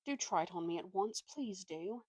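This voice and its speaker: A woman voicing a gentle, easily persuaded male donkey in a storybook, sounding weary, plaintive and apologetic. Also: medium pitch, animated, normal speed, breathy edge, dry recording, clean studio sound.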